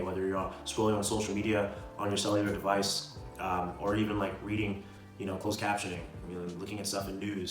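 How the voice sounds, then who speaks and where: A man's voice: fairly low pitch, a bit bright. A young man giving casual motivational advice in an informal, slangy way, often checking in with a "right?". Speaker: video host giving self-improvement advice. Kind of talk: casual motivational talk to camera. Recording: roomy echo, distant mic, music behind.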